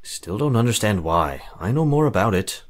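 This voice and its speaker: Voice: mid-range voice, big pitch swings, normal speed, voice pushed down, close to an everyday voice. A woman voicing a blunt, tactless man in a visual novel. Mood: stubborn. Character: blunt, tactless grown man, mildly contrary.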